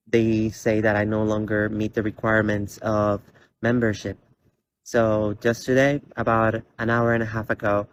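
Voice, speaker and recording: Soft-spoken young man, flat delivery. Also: lowish voice, a little hiss or hum, rough recording. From Costa Rica. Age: 20s. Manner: earnest, halting, many fillers and restarts. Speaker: college student. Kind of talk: personal video statement. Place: large indoor theater.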